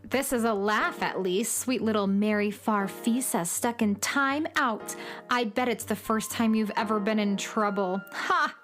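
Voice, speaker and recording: A woman's voice: medium pitch, unhurried, fairly bright tone, faint music behind, lightly acted. A woman playing a petulant, bratty girl troublemaker in a children's radio comedy, sneering and taunting with sarcasm. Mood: mocking. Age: child figure.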